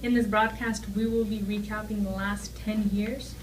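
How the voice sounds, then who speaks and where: A woman's voice: mid-range voice, flat delivery, normal speed, a little mellow. A young woman reading scripted news as an anchor. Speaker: news anchor in an amateur newscast. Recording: distant mic, faint background noise.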